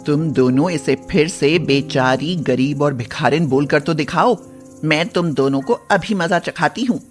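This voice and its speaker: A woman's voice: sits low, unhurried, faint music behind, pitched below the performer's own voice. A woman playing a fierce, loyal schoolgirl in an acted moral story. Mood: threatening. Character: fierce, loyal schoolgirl defending and missing her friend.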